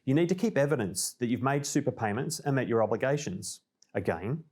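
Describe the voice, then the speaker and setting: A man with an Australian accent presenting a scripted informational update in a clear, orderly, explanatory way. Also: medium pitch, a little mellow. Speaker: presenter of a company newsreel.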